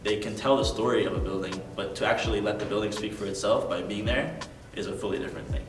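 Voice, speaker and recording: A man's voice: on the low side, fast, reverberant, recorded from a distance, music in the background. A young man speaking as an interview guest, earnest and thoughtful, with many ums and likes. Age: young adult. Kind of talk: reflective interview talk.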